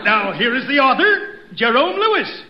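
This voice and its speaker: A man announcing a vintage radio comedy act in an excited style, on a dull, echoey old recording. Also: very high voice, moderate pace. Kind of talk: excited 1950s radio show introduction.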